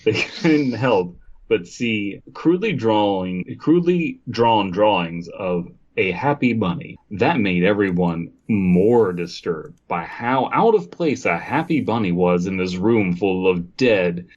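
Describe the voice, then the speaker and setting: A man's voice: fairly low pitch, animated, slow pace, darkish tone. A man co-hosting a podcast, reading a story aloud and breaking off for wry, mocking asides. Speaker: podcast co-host. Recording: dull, muffled lo-fi sound.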